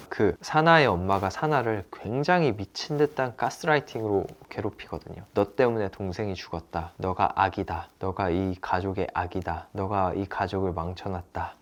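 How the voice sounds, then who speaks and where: A man's voice: on the low side, a little hiss or hum. A young man from Gyeonggi giving a blunt, opinionated solo review of a TV drama in a casual, slangy way. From Gyeonggi, South Korea.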